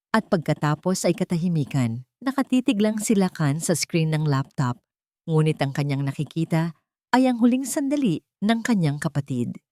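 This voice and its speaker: A woman with a low voice narrates a scripted story as an audio drama. She is solemn and dramatic, and the recording is dry and close. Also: dark tone, dry recording.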